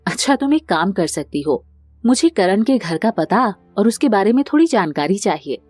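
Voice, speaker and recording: A woman's voice: medium pitch, big pitch swings, normal speed, a little mellow, faint music behind. Woman playing sensible, reassuring elder sister-in-law. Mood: supportive. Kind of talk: cartoon family drama storytelling.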